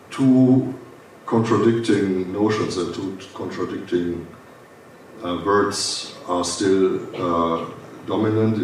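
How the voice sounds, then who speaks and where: A man from Germany with a German accent, giving a formal welcome speech at a conference, pausing often with fillers and restarts. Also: fairly low pitch, distant mic. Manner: halting, many "uh" fillers and restarts. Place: conference hall. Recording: echoey hall, speaker well off the mic, faint hiss.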